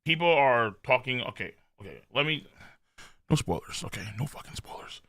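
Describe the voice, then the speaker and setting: A man hosting a livestream, chatting casually in a loud, animated, rambling way with lots of swearing and a slightly strained throat. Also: mid-range voice, moderate pace. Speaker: live streamer, host. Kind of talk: casual livestream chat, reading viewer messages.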